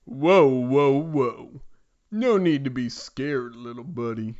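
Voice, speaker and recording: A man's voice: medium pitch, slow, darkish tone, lo-fi sound, lightly acted. A man voicing a big, easygoing, boastful talking ape in a comic fanfic reading. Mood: soothing. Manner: goofy, proud, casual.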